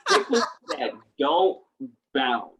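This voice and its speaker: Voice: mid-range voice, huge pitch swings, takes it slowly, fairly bright tone, recorded from a distance, a little hiss or hum. A co-host in casual chat who talks animatedly and repeats words when starting sentences. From United States.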